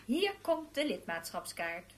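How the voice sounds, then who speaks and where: A woman's voice: a little high, sing-song, measured pace. An actress playing a formal, officious female employment office clerk in a radio play. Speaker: radio drama actor. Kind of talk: Dutch radio play dialogue.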